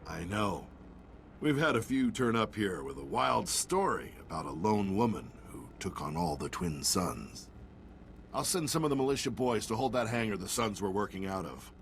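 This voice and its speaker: Earnest militia leader, game role: lowish voice, lively intonation, faint noise behind. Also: male voice, normal speed, gravelly. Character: earnest, plainspoken male frontier militia leader. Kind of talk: video game voice acting.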